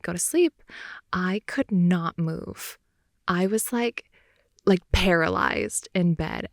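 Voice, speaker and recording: A woman's voice: mid-range voice, no room sound. A woman improvising intimate girlfriend-style ASMR, telling personal stories in a casual, hesitant ramble close to the mic. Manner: hesitant, rambling, filler-heavy casual speech. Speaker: ASMR audio performer. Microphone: close, dry studio-style mic.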